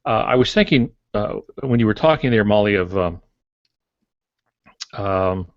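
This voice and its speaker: A man's voice: fairly low pitch, expressive, a little slow, no room sound. An older man, chatting casually about books as a podcast co-host. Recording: dull lo-fi podcast audio.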